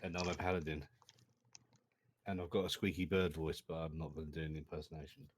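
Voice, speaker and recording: A man's voice: very deep voice, little pitch movement, a little fast. A man playing a tabletop game who jokes and laughs easily while introducing his character. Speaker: player.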